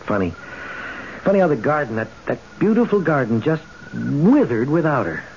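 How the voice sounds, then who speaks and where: A puzzled, questioning neighbor in old radio drama style. His voice sits a little high and moves with lively swings. The sound is dull, like an old broadcast recording. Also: normal speed, slight background noise. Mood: wistful, puzzled. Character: puzzled, inquisitive male neighbor paying a call. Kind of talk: 1970s radio drama acting.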